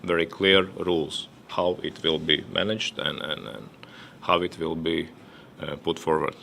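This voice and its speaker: A very low, gravelly male voice with a bright edge, talking slowly. He speaks as a panelist, halting and repeating words as he goes, over a faint hum. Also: steady tone. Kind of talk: panel discussion remarks. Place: conference hall.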